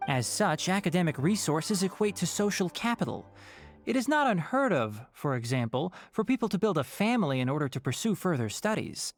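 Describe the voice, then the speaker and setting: A man voicing a sharp young male scholar in a video game, measured and dryly sarcastic. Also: high-pitched, sing-song. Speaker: scholar and guardian. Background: game music.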